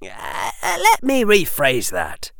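A man's voice: on the high side, wildly swooping pitch, slow, creaky, fairly bright tone, dry recording, clean studio sound, pitched above the performer's own voice, heavily acted. A man voicing a clever, mild-mannered teenage boy wizard who sounds innocent but sly.

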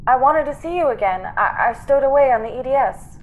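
Casting: actress as doomed young heroine, high voice, tender, halting, earnest radio melodrama, slight noise. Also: female voice, expressive, phone-quality sound. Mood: longing, urgent. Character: doomed young woman, tender, tearful, brave stowaway. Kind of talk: classic radio drama acting.

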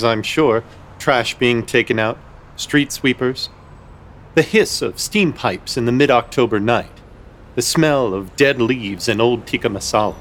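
A man's voice: mid-range voice, slight background noise. A man narrating a comic horror radio play in an ornate, mock-grave, wry storytelling manner.

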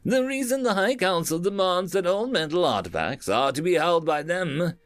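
Casting: man as formal, nervy alien highborn for space-opera storybook, raised lively pitch, emphatic delivery. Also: normal speed, no room sound, faint music behind. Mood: bitter, insistent. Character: alien Highborn councillor, anxious, formal, distrustful of authority.

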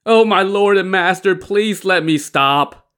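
A man's voice: medium pitch, expressive, moderate pace, bright, forceful tone, pitched above the performer's own voice. A man voicing a frantic, gullible male traveler in a story, whining and pleading in exclamations. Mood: lamenting.

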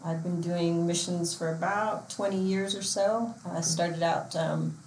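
A woman's voice: on the low side, fairly even intonation, unhurried, a little mellow, slight background noise. A woman from Portland, Oregon who coaches youth sports and organizes missions trips, speaking earnestly in a casual interview with frequent fillers and restarts. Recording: echoey room with faint ambience.

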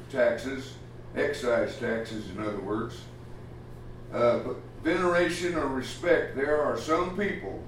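A man's voice: mid-range voice, a little hiss or hum. Plainspoken preacher guy, lots of uhs, roomy echo and mic set far off. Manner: plainspoken, folksy, halting with many uh fillers. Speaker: pastor. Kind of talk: sermon. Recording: echoey room from far off, faint ambience.

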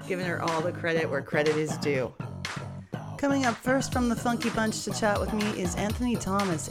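An upbeat woman hosts a radio interview, leaning on names and titles as she introduces her guest. Her voice sits at an ordinary middle pitch. Loud music plays under her the whole time. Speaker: radio show host. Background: music playing.

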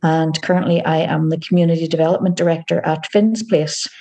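A woman with a Northern Irish accent, a community organisation director, giving calm, measured answers as a podcast interview guest. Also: low-pitched. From Northern Ireland.

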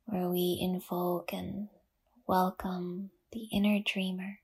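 A woman leading a guided yoga nidra meditation in a soft, breathy half-whisper, gently and with long pauses. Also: lowish voice, flat delivery.

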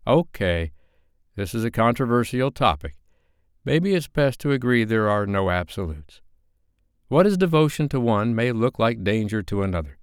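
A man voicing a diplomatic, polite male moderator in an audiobook, placating and measured. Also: on the low side, sing-song, clear, resonant tone, no echo, clean studio sound, pitched above the performer's own voice.

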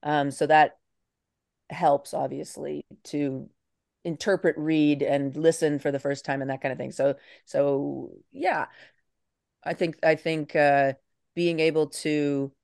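A woman's voice: sits low, steady tone, slow pace, vocal fry, darkish tone, dry recording, clean studio sound. A middle-aged actress chatting casually as a podcast guest, often cutting into her own sentences in a playfully theatrical way.

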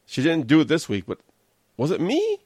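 A man's voice: a little high, wildly swooping pitch, normal speed, a little mellow, husky, dry recording, studio quality. An American man hosting a casual solo review show, rambling through his opinions in a sardonic way. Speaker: host of a wrestling review show. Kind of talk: casual solo commentary on wrestling shows.

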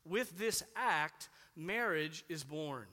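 A man preaching a sermon as a pastor, earnest and emphatic, rising for emphasis and dropping low on key words. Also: expressive, slow pace, pushed and bright, in a large hall.

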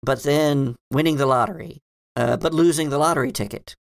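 A man voicing a cryptic, hesitant librarian woman who explains hidden lore in a halting, musing way in a tabletop game. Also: on the high side, creaky, no echo, clean studio sound, pitched above the performer's own voice. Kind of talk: improvised tabletop roleplay.

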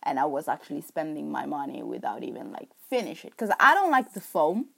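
A woman's voice: deep voice, very animated, a little fast, vocal fry, pushed and bright. A young woman from Italy, speaking English with an Italian accent, chatting casually to camera in a rambling, self-correcting way. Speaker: vlogger.

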